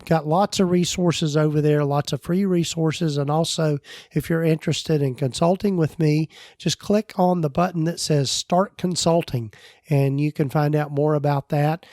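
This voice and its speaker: Man with a voice going hoarse. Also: fairly high pitch, warm tone, dry recording, studio quality. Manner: loose, rambling, with fillers and self-corrections. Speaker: podcast host and practice consultant. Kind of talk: solo podcast talk.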